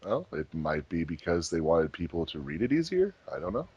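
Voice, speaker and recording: A man's voice: low voice, very animated, a little fast, slightly rough, highs cut off. A man hosting a group commentary track in a casual, chatty style. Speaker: host of a fan dub commentary track.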